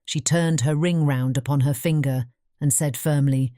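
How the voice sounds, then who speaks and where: A woman narrating a scripted fairy tale audiobook as a measured storyteller. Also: low-pitched, steady tone, dark tone, no room sound, clean studio sound.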